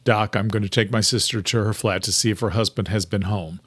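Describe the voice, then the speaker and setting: A man's voice: on the low side, fairly quick. Man playing a terse, protective thriller hero. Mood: firm, controlled. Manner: terse, steady, commanding. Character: protective, decisive armed brother, thriller hero.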